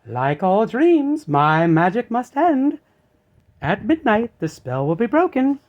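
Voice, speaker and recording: A man's voice: high-pitched, big pitch swings, muffled recording, pitched above the performer's own voice, exaggerated voice. A man voicing a kindly, fussing, motherly fairy godmother in a children's storybook reading. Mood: solemn warning. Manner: drawn-out, deliberate delivery. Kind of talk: children's storybook read-aloud.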